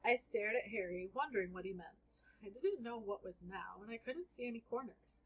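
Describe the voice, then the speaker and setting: A woman reading a children's book aloud as an expressive first-person storyteller. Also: medium pitch, moderate pace, slightly rough, lo-fi sound.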